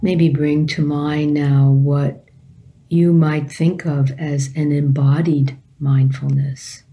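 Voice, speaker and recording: A woman meditation teacher leading a guided meditation calmly and gently, in short phrases with long pauses. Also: low-pitched.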